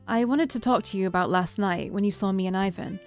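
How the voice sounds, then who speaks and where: Woman voicing young heroine, earnest, hesitant, pleading, stubborn, storybook dialogue, soft music behind. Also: medium pitch, brisk, close to an everyday voice. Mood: tentative, apologetic.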